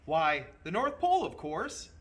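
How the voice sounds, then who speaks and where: A man voicing a jolly, commanding train conductor from a storybook with loud, grand, drawn-out announcements. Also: fairly high pitch, huge pitch swings, recorded from a distance, pitched above the performer's own voice, exaggerated voice. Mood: booming, hearty.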